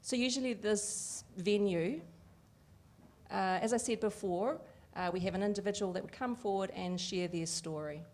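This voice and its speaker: A woman voicing a Deaf host live at an evening event, lively and chatty with frequent fillers. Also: medium pitch, moderate pace. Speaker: sign language interpreter voicing the Deaf host. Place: event venue with an audience.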